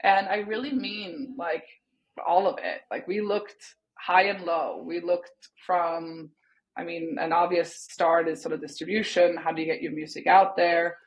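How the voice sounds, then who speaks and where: A woman's voice: fairly low pitch, steady tone, measured pace, poor audio. A woman in her thirties from Sweden, a native Swedish speaker, talking thoughtfully over a video call and hedging often with "sort of" and "kind of". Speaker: guest. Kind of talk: casual interview talk. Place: home room, indoors.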